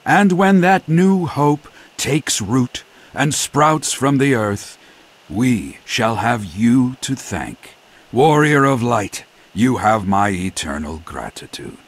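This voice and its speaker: Slow, solemn, husky man of prophecy. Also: medium pitch, animated, heavily acted. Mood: earnest gratitude. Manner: formal, florid, earnest oratory. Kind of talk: dramatic video game cutscene acting.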